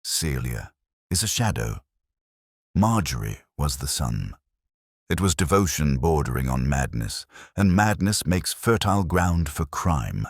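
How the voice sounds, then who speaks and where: An anguished male playwright , as read by a male narrator speaks in a very deep voice. He is devoted and theatrical, with florid lines in a dry audiobook sound. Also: big pitch swings, measured pace, breathy edge, no room sound, exaggerated voice. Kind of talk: audiobook character dialogue.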